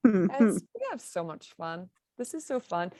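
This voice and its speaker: A woman's voice: on the low side, sing-song, measured pace, warm tone, no echo, clean studio sound. A woman emceeing a comedy open mic, joking with crude, playful banter.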